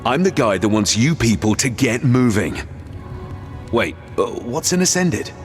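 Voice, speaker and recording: An actor playing a blunt, practical young warrior hero, speaking plainly and directly in a game cutscene. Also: male voice, medium pitch, big pitch swings, a little slow, soft background music. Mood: determined. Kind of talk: video game cutscene voice acting.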